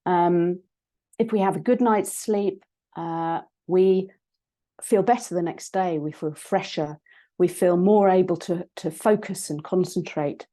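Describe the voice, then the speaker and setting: A woman with a British accent, a psychologist and coach giving an online talk, croaky from a cold and hesitant, with many ums and restarts. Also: lowish voice, a little slow, warm tone. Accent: British English.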